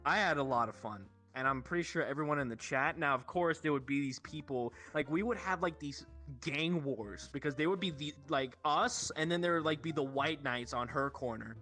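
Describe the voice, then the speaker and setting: Bright-voiced young man swearing through a story. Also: fairly high pitch, moderate pace, soft background music. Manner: sweary, animated slangy storytelling. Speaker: show host telling stories. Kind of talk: casual rambling storytelling with friends.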